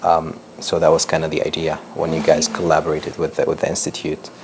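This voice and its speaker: Deep, gravelly-voiced young man. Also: completely flat delivery, brisk, noisy background. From Lebanon. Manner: hesitant, many ums and restarts. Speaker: PhD researcher giving a talk. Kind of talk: public science talk.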